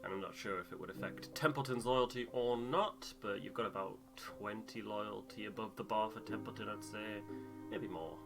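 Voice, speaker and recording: A man's voice: on the low side, moderate pace, gravelly, over music. A man hosting a gaming playthrough in casual chat. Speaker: playthrough host and co-reader.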